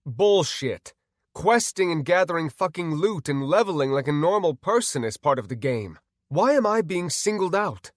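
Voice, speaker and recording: A man's voice: medium pitch, lively intonation, normal speed, hardly any pauses, no echo, clean studio sound, natural voice. A man voicing a defiant, anguished, foul-mouthed gamer hero in an audiobook, vehement in manner. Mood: indignant. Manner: vehement, swearing.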